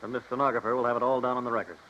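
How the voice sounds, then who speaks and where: Brisk, fast-talking police lieutenant played by a man. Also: medium pitch, fairly even intonation, fairly bright tone, lightly acted. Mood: businesslike. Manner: official, businesslike. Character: brisk, matter-of-fact male police lieutenant. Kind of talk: 1950s radio drama acting. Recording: noisy, dull 1950s radio recording.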